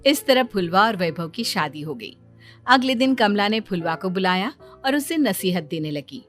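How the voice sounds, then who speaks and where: A woman's voice: mid-range voice, expressive, moderate pace, fairly bright tone. A woman narrating a scripted cartoon story as the storyteller. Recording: faint music bed under voice.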